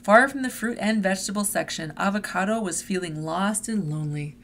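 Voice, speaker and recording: Woman, playful read-aloud storyteller for kids, gravelly fry in the voice, slight noise behind. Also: medium pitch, fairly quick. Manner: expressive storytelling with chuckles and playful asides. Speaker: adult reader leading a children's read-aloud. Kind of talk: picture book read-aloud.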